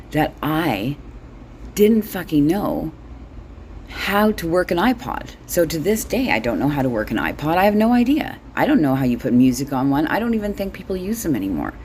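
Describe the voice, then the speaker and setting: A woman's voice: low voice, darkish tone, a little hiss or hum. A middle-aged woman chatting casually in a comedic monologue, blunt, wry and sweary, as the host of her own show. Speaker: solo host.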